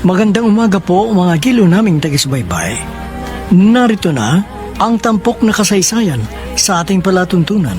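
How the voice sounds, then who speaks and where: A man hosting a radio drama with a scripted introduction in dramatic, deliberate phrasing. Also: high voice, sing-song, over music.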